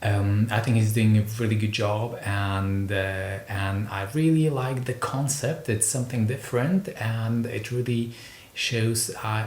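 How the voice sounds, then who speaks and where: A man from Slovakia with a Slovak accent, talking casually to camera in a hesitant, rambling way, often repeating words. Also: a little mellow. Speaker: video host, record collector. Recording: roomy echo, noticeable low rumble noise.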